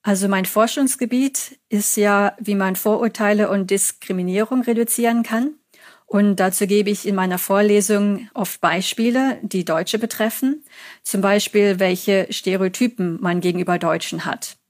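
A woman's voice: medium pitch, steady tone, normal speed. German woman telling anecdotes. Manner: chatty, storytelling with quoted examples. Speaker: psychology lecturer. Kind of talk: interview answers.

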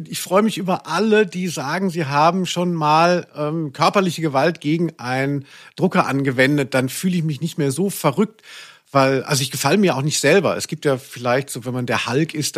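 Podcast co-host, man, forties, a little high in pitch, casual, rambling, self-mocking storyteller. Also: moderate pace, a bit bright. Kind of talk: casual humorous anecdotal chat.

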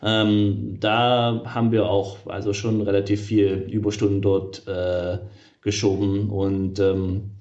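Low-voiced man, halting and thoughtful. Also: flat delivery, a little mellow, highs cut off. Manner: hesitant, many fillers and restarts. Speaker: game developer, interview guest. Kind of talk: casual conversational interview.

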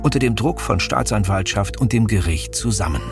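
Guy with a lowish voice reading out a crime case, calm and measured, faint music behind. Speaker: podcast co-host. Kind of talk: scripted true-crime narration.

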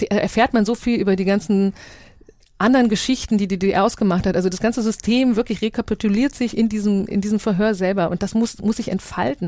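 A woman's voice: medium pitch, darkish tone, dry recording. A woman from East Germany speaking reflectively as a radio journalist in a casual panel discussion, often reworking her sentences midway.

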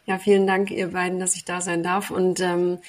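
A woman's voice: fairly low pitch, completely flat delivery, moderate pace. A woman telling her story as a podcast guest over a video call from her apartment, talking freely with many fillers and English loanwords. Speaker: podcast guest, sales mentor. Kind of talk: casual conversational interview.

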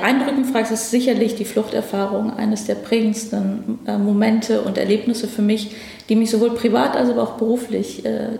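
Woman guest in a ministry office, gravelly mellow voice, lots of ums, roomy echo. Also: fairly high pitch. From Afghanistan. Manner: thoughtful, many hesitations. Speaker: interview guest, ministry division head. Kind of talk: conversational interview.

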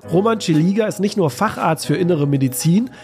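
German podcast host, fairly high voice. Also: male voice, animated, a little fast, darkish tone, soft background music. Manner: friendly, asks questions with many "äh" fillers. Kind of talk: scripted podcast intro and interview questions.

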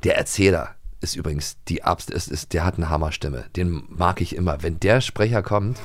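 A man's voice: sits low, normal speed, a little hiss or hum. A man co-hosting a podcast in casual, chatty, unscripted banter, often chiming in. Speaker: co-host of an audio drama podcast.

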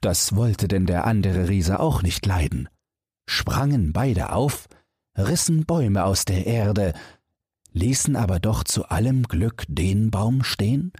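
A man narrating a fairy tale audiobook for bedtime in a measured, expressive storytelling manner. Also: fairly low pitch, breathy edge, no echo, clean studio sound.

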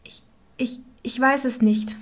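A woman's voice: a little high, steady tone, unhurried, pitched above the performer's own voice, close to an everyday voice. A woman voicing a frightened young wife in a fairy tale, anxious, pleading and dramatic. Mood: frightened, evasive.

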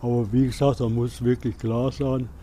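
A middle-aged or older man from rural Hesse answers casually and haltingly, a plain-spoken local breaking off and restarting his sentences. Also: medium pitch, level tone, dark tone. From rural Hesse, Germany. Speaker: local resident being interviewed. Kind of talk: casual spontaneous interview answers. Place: outdoors in a quiet rural village. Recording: outdoor interview with faint ambient noise.

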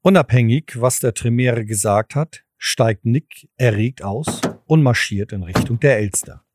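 A man's voice: medium pitch, a little slow, a little mellow, no room sound. A man narrating a scripted audio drama as its storyteller.

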